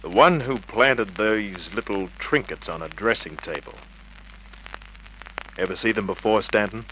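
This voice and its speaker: An actor playing a cool, wisecracking private investigator in a clipped, commanding vintage radio crime style. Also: male voice, lowish voice, a little slow, fairly bright tone, poor audio. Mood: cool, controlled. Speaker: lead actor and narrator. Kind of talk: 1950s radio crime drama acting, hardboiled narration. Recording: old radio recording with hiss.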